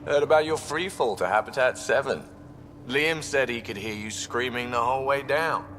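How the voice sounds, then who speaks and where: A man's voice: medium pitch, moderate pace, a bit bright, a little hiss or hum, lightly acted. Man as a no-nonsense squad mate, heavy reverb. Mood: teasing. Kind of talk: scripted video game acting. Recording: long reverberant hall sound.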